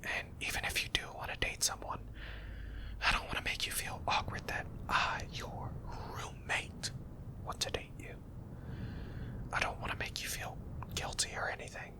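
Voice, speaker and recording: A man whispering a tender, hesitant confession as a boyfriend in an ASMR roleplay. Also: moderate pace.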